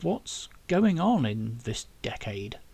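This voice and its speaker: A bewildered, curious male time traveller in an audiobook, voiced by a man reader. He sounds puzzled and questioning, with a husky voice and lively pitch. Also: takes it slowly, dry recording, heavily acted. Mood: confused.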